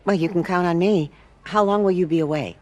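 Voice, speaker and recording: An actress playing a caring older aunt in a video game, gently chiding but firm. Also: female voice, fairly low pitch, brisk, natural voice.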